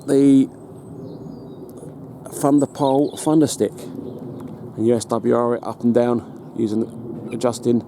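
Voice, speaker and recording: A British man giving a casual hands-on demonstration as a hobbyist, in a halting, matter-of-fact way. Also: medium pitch, fairly even intonation, dark tone. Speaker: hobbyist presenter. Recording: noticeable background noise.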